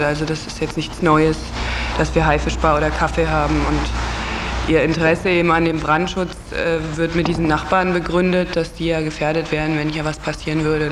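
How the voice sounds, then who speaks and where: Man in flat-toned interview, noisy recording. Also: fairly high pitch. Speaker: interviewee, house project resident. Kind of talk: unscripted radio interview.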